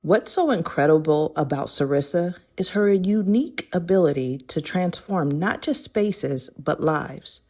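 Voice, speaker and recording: A woman's voice: low voice, animated, measured pace, few pauses, a little mellow. A woman narrating a scripted, inspirational book foreword in an audiobook style.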